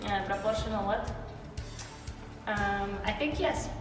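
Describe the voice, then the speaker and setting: A woman's voice: mid-range voice, slow, close to an everyday voice. A woman playing a joking Russian interviewee. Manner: puzzled, hesitant, jokey. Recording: echoey hall from far off, music behind.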